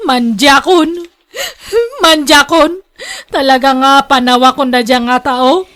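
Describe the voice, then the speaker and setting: An actress with an Ilocano accent playing a long-suffering, scolding young wife in a radio drama. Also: female voice, sits high, fairly even intonation, slow pace, a bit bright. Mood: tearful, resolute.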